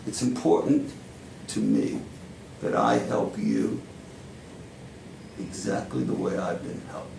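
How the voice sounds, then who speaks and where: Man acting gravelly New power lawyer, fatherly. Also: a bass voice, wildly swooping pitch, pitched below the performer's own voice, full character voice. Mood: insistent, coaxing. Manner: deliberate, emphatic, persuasive. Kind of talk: dramatic stage monologue. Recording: roomy echo, faint background noise.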